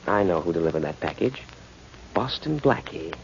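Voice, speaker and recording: An actor playing an impatient, bossy crime boss, curt and commanding, in the style of a 1940s radio crime drama. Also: male voice, deep voice, expressive, moderate pace, vocal fry. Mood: brusque, scheming. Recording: muffled, noisy 1940s radio broadcast.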